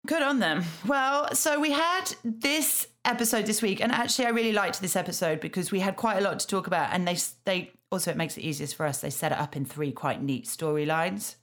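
A woman's voice: medium pitch, a little fast, hardly any pauses, fairly bright tone. A British woman, around her thirties or forties, chatting casually and self-deprecatingly as a podcast co-host. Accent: British English. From Yorkshire, England. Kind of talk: casual chat, TV recap.

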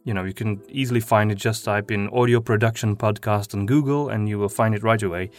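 A man whose first language is Flemish, hosting a podcast and talking about his writing with many pauses and ums. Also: lowish voice, steady tone, soft background music. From Flanders. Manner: hesitant, many ums and restarts. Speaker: podcast host and audio drama writer. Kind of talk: casual monologue, then reading his own article.

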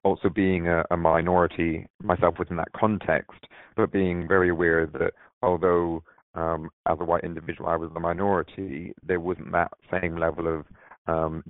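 A man's voice: low voice, no room sound. A man, born in Barbados, answering interview questions hesitantly with many fillers, over a phone-like line. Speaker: diversity manager, interview guest.

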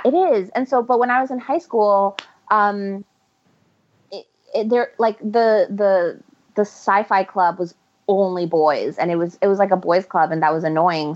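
A woman's voice: medium pitch, normal speed. A woman from Ecuador, a guest author, chatting casually in an interview and often restarting her sentences. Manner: rambling, self-correcting, laughs often. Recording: muffled lo-fi audio.

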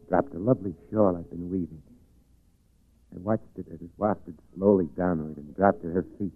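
An actor playing a lonely, disturbed, murderous recluse who narrates his obsessive thoughts in a hushed, brooding way, in 1940s radio drama style. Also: sits low. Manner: hushed, brooding, intense inner monologue. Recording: tinny, narrow-band 1940s radio recording.

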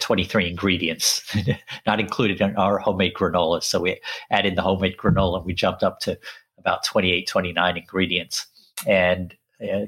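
A man born in Sri Lanka and raised in England, co-hosting a casual talk show and speaking in a halting way with many restarts. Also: deep voice, fairly bright tone, slightly rough. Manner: halting, with frequent restarts and repeated words. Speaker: podcast co-host. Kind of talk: casual conversational chat.

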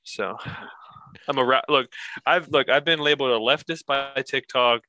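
A man's voice: mid-range voice, normal speed, sharp tone, a little hiss or hum. A man in his twenties co-hosting a podcast in casual chat, rambling and self-mocking with lots of restarts. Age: 20s. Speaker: podcast co-host.

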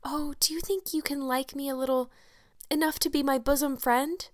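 A woman's voice: on the high side, fairly quick, no room sound, studio quality, lightly acted. A woman voicing an anxious, dramatic orphan girl in a storybook, gushing and earnest with old-fashioned long words. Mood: timid hope. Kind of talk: audiobook character acting.

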